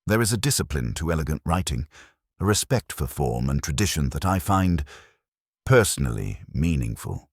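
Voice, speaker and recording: A man voicing a prim, exacting woman librarian with quiet authority, speaking formally and precisely in an audiobook mystery. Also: a bass voice, sing-song, normal speed, husky, no room sound, clean studio sound, full character voice. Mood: quietly approving.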